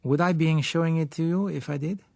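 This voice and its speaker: A man voicing a suave, sly businessman in an audiobook, polite and measured, with soft hesitant pauses. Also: on the high side, steady tone, gravelly, no echo, voice pushed up. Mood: gently persuasive. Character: suave, sly South American businessman, polite and gently insistent. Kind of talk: audiobook character voice.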